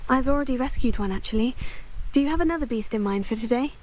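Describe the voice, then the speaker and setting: A woman's voice: a little high, animated, quick pace, a little mellow, slight background noise, lightly acted. A woman playing a polite, curious young student witch in a video game. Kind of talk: video game character acting.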